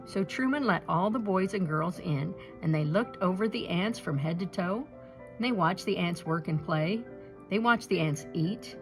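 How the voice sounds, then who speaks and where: A woman reading a children's picture book aloud with expressive, deliberate phrasing. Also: on the low side, music in the background. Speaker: storybook reader.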